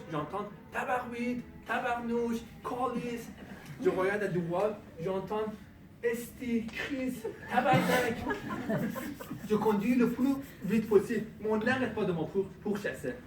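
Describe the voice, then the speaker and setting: A man giving a humorous speech at a speaking club, telling a comic story with pauses for the punchlines. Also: high-pitched, darkish tone, slight background noise. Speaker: contest speaker. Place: club meeting room. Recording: echoey room, from far off. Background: audience laughter.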